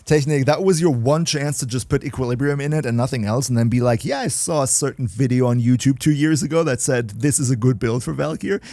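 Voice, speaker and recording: Streamer, male, mid-pitch, chatty and joking, close dry mic. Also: a little fast, dark tone, dry recording. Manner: chatty, joking, reads chat aloud. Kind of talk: casual livestream chat with viewers.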